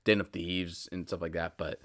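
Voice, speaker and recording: Low-voiced rambling young man podcasting. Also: level tone, normal speed, a bit bright. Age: 20s. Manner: rambling, self-interrupting with stammered repeats. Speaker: podcast host. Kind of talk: casual solo podcast monologue.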